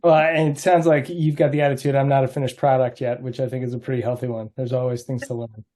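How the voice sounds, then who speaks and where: Mellow-voiced male interviewer. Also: mid-range voice, flat delivery, quick pace. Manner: friendly, probing questions. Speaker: interviewer, host. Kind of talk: casual interview on a video call.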